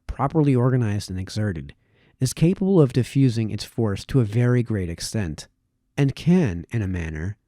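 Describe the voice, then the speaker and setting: Man, read-aloud narration, lively varied pitch, mellow tone, deliberate pauses, dry close sound. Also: no echo. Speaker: amateur reader of historical essays.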